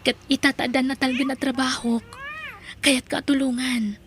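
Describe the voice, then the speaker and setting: A woman playing a kind, forgiving young woman, gentle and earnest, in an emotional audio drama. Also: high-pitched, flat delivery, normal speed, slight background noise, natural voice.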